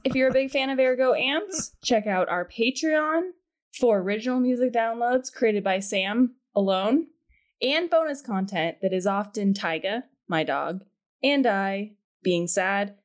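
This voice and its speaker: A woman hosting a podcast, delivering a scripted announcement to listeners. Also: mid-range voice. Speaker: podcast co-creator and host.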